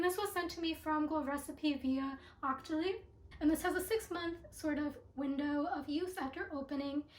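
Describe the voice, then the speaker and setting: A young woman with a voice raspy from allergies, chatting through a beauty product review with lots of ums and likes. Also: sits high. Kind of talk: casual product review vlog. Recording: echoey room.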